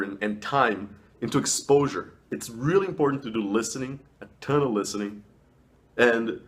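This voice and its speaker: Low-pitched man giving casual, emphatic advice. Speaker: language vlogger. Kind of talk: casual advice talk to camera.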